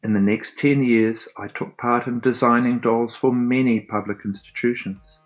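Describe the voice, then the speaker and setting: A man narrating a documentary in the first person, in a calm, even storytelling manner. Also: mid-range voice, moderate pace, low-quality recording.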